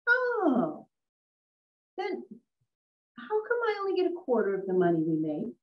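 Naive older married lady, played by an actress, vintage radio comedy, animated pitch, heard distant from the mic. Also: a little slow, echoey room, lo-fi sound. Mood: innocent, puzzled. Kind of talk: 1940s radio comedy acting.

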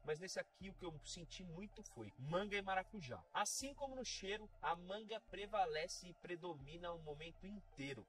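A young Brazilian man hosting a relaxed hookah tobacco review, seated on a sofa in a dim room and talking straight to viewers. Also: sits high, distant mic. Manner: chatty and relaxed, addressing viewers directly. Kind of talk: casual product review to camera.

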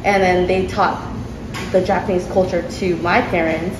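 A chatty woman with a slightly low voice, restarting her thoughts as she talks and laughing along the way. She is recorded in a restaurant, with room echo and loud background noise. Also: steady tone, normal speed. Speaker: restaurant owner. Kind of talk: casual interview. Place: sushi restaurant.

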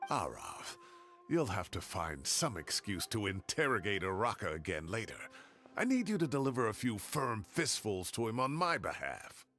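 An actor playing a cranky elderly retired professor in a video game, grumbling and indignant. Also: male voice, fairly low pitch, big pitch swings, normal speed, fairly bright tone, faint music behind. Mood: fuming. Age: older.

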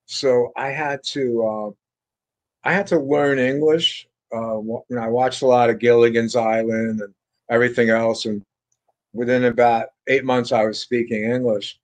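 An older man from France, speaking at an ordinary middle pitch, tells his stories in a loose, rambling way full of fillers and restarts. He comes through a video call from a home room lined with bookshelves. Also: fairly even intonation, moderate pace. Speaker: guest guitarist. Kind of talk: casual interview storytelling.

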